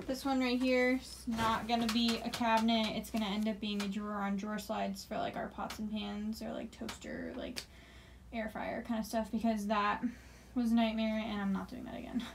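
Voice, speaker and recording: A woman's voice: on the high side, flat delivery, normal speed, gravelly, slight background noise. A young woman co-hosting a van build vlog, talking casually and chatty, often exasperated. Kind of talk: casual chat. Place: small living room, indoors.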